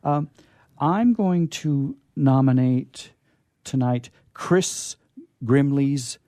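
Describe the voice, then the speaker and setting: Mellow American man, halting radio host. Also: medium pitch, dry recording, clean studio sound. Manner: halting, discursive, with emphatic repetition. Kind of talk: casual book chat.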